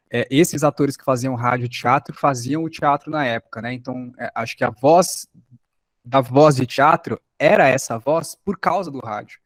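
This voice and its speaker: A man's voice: mid-range voice, dry recording. A Brazilian man explaining things in a class discussion, a little hesitant, like a workshop teacher.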